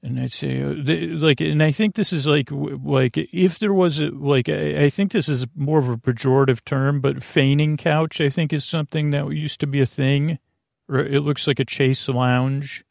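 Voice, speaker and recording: Male sleep-podcast host, middle-aged: fry-heavy voice, digressive and whimsical rambling, recorded close and dry. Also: fairly high pitch, fairly even intonation, no echo. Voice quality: gravelly, creaky. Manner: meandering, halting, self-interrupting, digressive.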